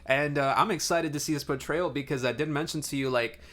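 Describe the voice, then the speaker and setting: A man's voice: on the high side, a little fast, a bit bright. A man co-hosting a podcast, chatting about pop culture in an agreeable, informal way. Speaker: podcast co-host. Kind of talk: casual pop culture chat.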